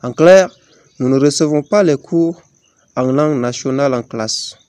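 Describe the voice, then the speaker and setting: A young man from Chad, a high school student presenting his assignment, hesitant with fillers. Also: moderate pace, a little mellow, no room sound.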